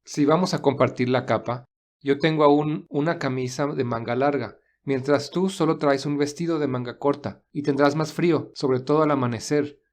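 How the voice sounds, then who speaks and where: A man playing a calm, gentle, protective young man in a romance audio story, speaking in a measured, reassuring way. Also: fairly high pitch, natural voice. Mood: calm, caring.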